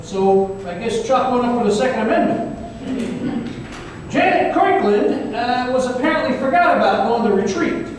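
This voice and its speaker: Man playing a wry detective in an echoey hall. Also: very high-pitched. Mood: wry recounting. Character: wisecracking male detective recounting a supernatural gunfight. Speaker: actor in a staged radio play. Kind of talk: old-time radio drama storytelling. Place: live performance in a reverberant hall. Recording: very echoey hall, distant mic, faint noise.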